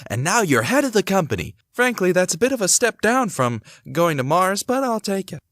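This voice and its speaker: Guy acting as upbeat young husband in an audio drama, gentle n reassuring, really lively pitch swings. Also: brisk, no echo, studio quality. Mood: playful, then tender. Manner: reassuring, theatrical, thinks aloud to himself.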